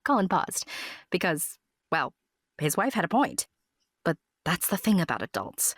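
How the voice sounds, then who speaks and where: A woman's voice: lowish voice, big pitch swings, a little slow, a bit bright, dry recording, studio quality. A woman narrating a scripted storybook tale with wry, playful asides to the listener.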